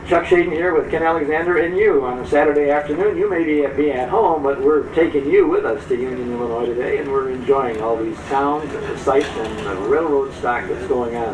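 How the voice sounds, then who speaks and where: A man's voice: mid-range voice, far from the mic. Older guy radio host, folksy n chatty, live from a train, echoey w/ railway noise. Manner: conversational, folksy, appreciative. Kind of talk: casual live radio interview and hosting. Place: open back platform of train car. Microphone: broadcast microphone.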